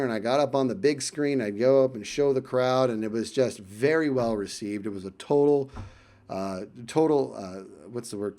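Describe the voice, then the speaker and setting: Man telling a story, casual and animated. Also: mid-range voice. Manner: animated, emphatic, repeats phrases for effect. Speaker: YouTuber telling a personal story.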